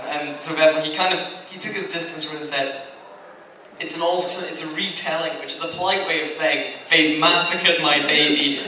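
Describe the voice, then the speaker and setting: A man speaking on a convention panel, chatty and rambling, with frequent asides and laughs. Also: a little high. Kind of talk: panel discussion. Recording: echoey hall, distant mic, background noise.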